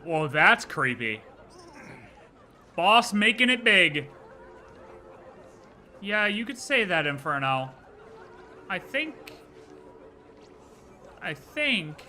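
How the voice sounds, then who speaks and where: A man's voice: high voice, very animated, drawn-out words, long pauses, bright, forceful tone, a faint crowd, slight background noise. A man streaming a game live, reacting in a casual, joking way and swearing freely.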